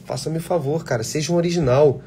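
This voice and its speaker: A man's voice: fairly high pitch, quick pace, dark tone, a little hiss or hum. A man, an actor, talking casually and passionately to aspiring actors in a live chat, blunt and swearing freely. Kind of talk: casual live chat answering viewer questions.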